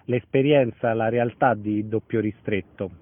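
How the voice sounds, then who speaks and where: A man's voice: fairly low pitch, steady tone, unhurried, heavy background noise. A man hosting a radio interview, putting questions to a guest. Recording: tinny, phone-like narrow sound.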